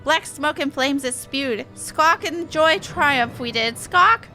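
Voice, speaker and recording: A woman voicing an excitable bird-beastman from a video game, speaking in inverted sentences punctuated by squawks. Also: sits high, slow, soft background music, pitched above the performer's own voice, full character voice. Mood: proud, fierce. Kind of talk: game dialogue read aloud in character.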